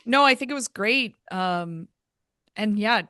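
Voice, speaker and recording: Female guest, smooth clear voice, appreciative tone, dry close recording. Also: mid-range voice, lively intonation, unhurried, pushed and bright, dry recording. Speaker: theatre creator guest. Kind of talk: casual interview chat.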